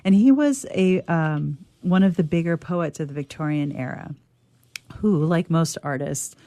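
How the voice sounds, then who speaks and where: A woman's voice: low-pitched, unhurried, mellow, no room sound. A woman radio theatre player, talking casually about poetry and reading it out. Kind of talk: casual talk and measured poetry recitation.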